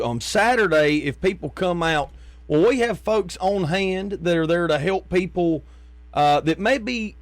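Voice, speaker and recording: A man's voice: fairly high pitch, dry recording. A man with an American accent, conducting a conversational interview as host.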